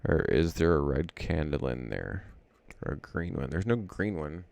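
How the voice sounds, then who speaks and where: A man's voice: rumbling low, animated, moderate pace, gravelly, a little mellow, no echo. A man casually thinking aloud as he walks through trading charts, rambling and correcting himself as he goes. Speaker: trading educator.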